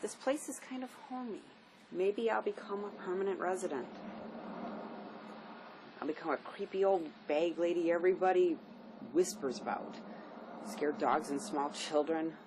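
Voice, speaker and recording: A woman's voice: lowish voice, moderate pace, pauses often, close to an everyday voice. A woman acting a jaded, self-mocking runaway in a drama reading, sardonic and resigned. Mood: bitterly sardonic. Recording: clear background noise, room ambience.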